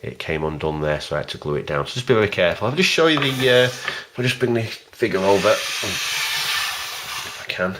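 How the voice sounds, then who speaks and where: A man giving a casual, rambling video review of a collectible figure in a plain, even voice, stopping often for ums and uhs. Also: lowish voice, normal speed, background noise. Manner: rambling and hesitant, many fillers.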